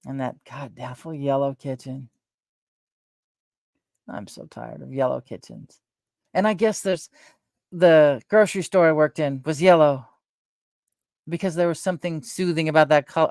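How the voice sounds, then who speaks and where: A middle-aged woman with a soft voice, chatting casually and at length as host of her own stream, wandering between thoughts with fillers and self-corrections. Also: low voice, normal speed, long pauses, no room sound, clean studio sound. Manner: meandering, trails off, frequent fillers and self-corrections. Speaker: livestream host. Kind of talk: rambling casual chat.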